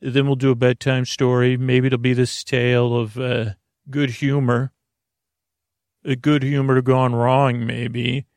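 A man hosting a bedtime podcast in a halting, meandering monologue with a creaky voice that drifts onto tangents. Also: mid-range voice, level tone, a little mellow, no room sound, studio quality. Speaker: podcast host.

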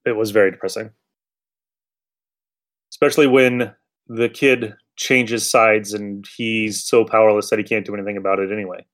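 A man's voice: on the low side, little pitch movement, moderate pace, a bit bright. A man hosting a podcast, chatting casually about an old radio show in a blunt, irreverent way with some swearing. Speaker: podcast host.

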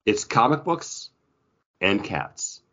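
A man's voice: lowish voice, big pitch swings, slow pace, a bit bright. A man co-hosting a podcast, chatting casually in a rambling, jokey way. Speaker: podcast co-host. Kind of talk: casual comic book podcast chat. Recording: dull, lo-fi audio.

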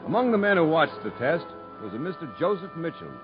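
Stubborn prison warden, muffled old radio. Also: male voice, on the high side, sing-song, a little fast. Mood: warm, reflective. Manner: earnest, firm, blunt. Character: gruff, loyal prison warden, stubborn and plainspoken. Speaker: actor in a radio drama. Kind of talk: 1950s radio drama acting. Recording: muffled 1950s radio broadcast with music.

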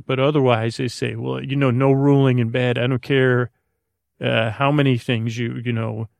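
A man hosting a podcast with a rambling bedtime monologue, halting and digressive, goofy and self-deprecating as he talks listeners toward sleep. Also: medium pitch, gravelly, dry recording, studio quality. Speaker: podcast host. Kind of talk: rambling, meandering bedtime monologue to help listeners fall asleep.

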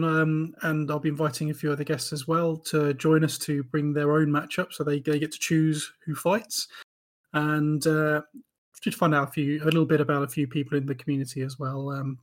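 A man hosting a hobby podcast in a relaxed, chatty way, welcoming a guest. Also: fairly high pitch. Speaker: podcast host. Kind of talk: casual podcast chat.

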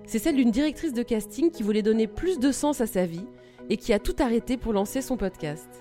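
A woman's voice: a little high, lively intonation, brisk, mellow. A woman hosting a podcast, reading an introduction and putting questions to a guest. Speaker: podcast host, interviewer. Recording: music behind the voice.

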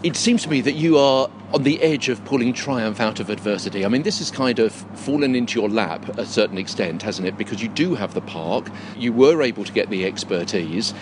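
A man's voice: fairly low pitch, expressive, fairly quick, hardly any pauses. A British man conducting an on-location interview in a chatty, conversational manner. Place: inside a circus big top tent. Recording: noisy location recording inside big tent.

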